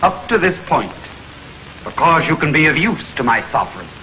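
Cold grandiose alien spy chief, theatrical menace, higher-pitched male voice, 1950s sci-fi serial, muffled recording. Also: big pitch swings, moderate pace. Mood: gloating. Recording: muffled, noisy, echoey 1950s TV soundtrack.

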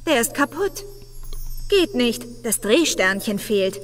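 A sweet, persistent young heroine. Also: female voice, fairly high pitch, sing-song, takes it slowly, long reverb tail, faint music behind. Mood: sweetly persistent. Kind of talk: game dialogue.

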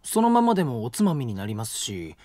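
An actor playing a polite, gentle young college student who cooks and patiently explains recipes. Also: male voice, mid-range voice, wildly swooping pitch, a little fast, no room sound, studio quality. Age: young adult. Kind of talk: studio audio drama. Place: dry studio.

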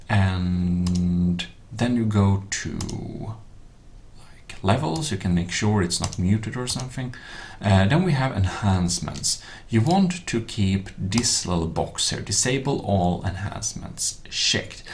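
A man's voice: lowish voice, creaky, a little hiss or hum. A man narrating a tech tutorial as its host, in slightly awkward non-native English phrasing with some off-the-cuff asides.

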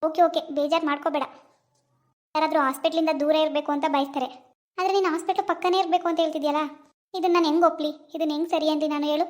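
A woman playing a fast-talking, scolding mother-in-law. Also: high-pitched, steady tone, natural voice. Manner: scolding, exasperated. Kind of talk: family drama acting.

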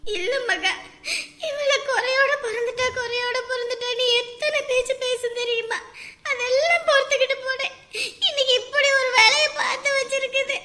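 A young mother in a family melodrama. Her voice is extremely high and very sharp, tearful and aggrieved, with a wailing, complaining delivery. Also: a little fast. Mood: pleading, aggrieved. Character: distraught, aggrieved young mother with an injured child. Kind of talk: melodramatic family drama acting.

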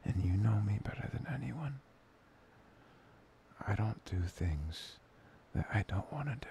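A young man playing a soft, playful, devoted boyfriend in an intimate ASMR roleplay, breathy, hesitant and often near a whisper. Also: on the low side, lively intonation, measured pace, a little hiss or hum. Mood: tender, reassuring.

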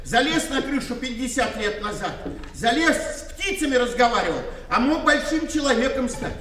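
An old rambling father, radio play, high voice, distant and echoey. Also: expressive, moderate pace, sharp tone, slight background noise, exaggerated voice. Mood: plaintive. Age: older. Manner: rambling, plaintive. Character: old village father, confused, rambling, sad. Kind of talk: 1990 radio play acting.

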